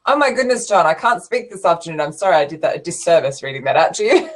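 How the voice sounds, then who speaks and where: Low-voiced woman hosting a chat. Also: sing-song, slight background noise. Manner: chatty, quick back-channel replies. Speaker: show host. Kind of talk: casual panel chat.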